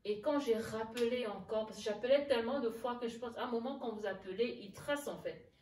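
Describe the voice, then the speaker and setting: A woman's voice: fairly high pitch, a little fast, a little mellow, slight background noise. A woman interview guest casually telling her own story, often acting out past conversations in reported speech. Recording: echoey room, distant mic, music underneath.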